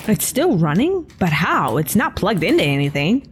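A woman's voice: on the low side, very animated, normal speed, a little hiss or hum. A woman playing a curious, teasing, skeptical character in an audio drama. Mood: confused.

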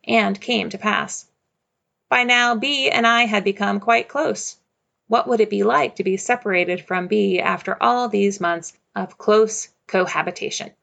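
Creaky-voiced woman telling a personal story. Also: medium pitch, fairly bright tone. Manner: measured, reflective, with a repeated refrain.

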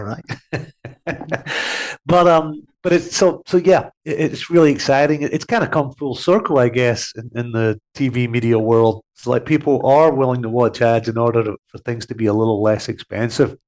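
A man's voice: medium pitch, darkish tone. A man with a head cold and a congested voice, chatting as a radio guest in a rambling way with many fillers. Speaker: TV series host as guest. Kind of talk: casual radio interview chat.

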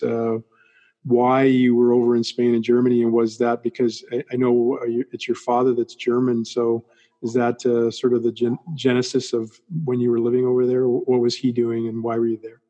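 A man hosts an interview and introduces his guest. His voice stays flat and even, with a dark, relaxed tone. His questions wander and restart before he gets to the point. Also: mid-range voice, muffled recording. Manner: rambling, halting questions. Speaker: podcast host.

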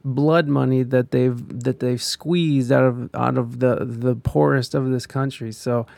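A man's voice: medium pitch, fairly even intonation, unhurried, vocal fry, a little mellow, no room sound. A man on a live stream, chatting casually and ranting, profane and rambling, with many restarts. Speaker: live streamer reading viewer comments.

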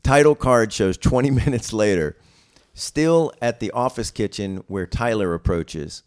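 A man with a low, mellow voice reads out stage directions from a comedy script. His delivery is casual, and the recording is dry and close. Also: lively intonation, measured pace, smooth, dry recording. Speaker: comedy writer reading own script.

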